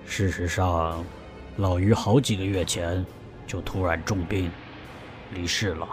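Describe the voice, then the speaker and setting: Man voicing a worried uncle, measured, lowish voice, music underneath. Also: fairly even intonation. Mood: grave. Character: worried middle-aged uncle, head of family. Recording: music bed behind.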